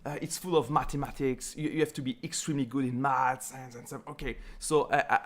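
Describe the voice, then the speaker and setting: A man's voice: medium pitch, steady tone, a little fast. A man from Belgium with a Belgian French accent, whose first language is French, chatting as a guest in an interview over a video call, laughing easily and restarting his sentences often. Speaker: guest software developer. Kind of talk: casual interview chat.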